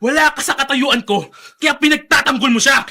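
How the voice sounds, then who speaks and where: An actor playing a bitter, furious adult son in a Filipino radio drama, shouting with scorn and overwrought emotion. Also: male voice, very high-pitched, brisk, vocal fry, heavily acted. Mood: outraged.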